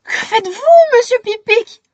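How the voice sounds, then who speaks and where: Woman playing a high-pitched, emotional little girl. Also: lively intonation, unhurried, dry recording, highs cut off. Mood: alarmed. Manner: exclamatory, breathless, earnest. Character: young earnest determined girl, emotional and pleading. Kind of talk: children's storybook character voice.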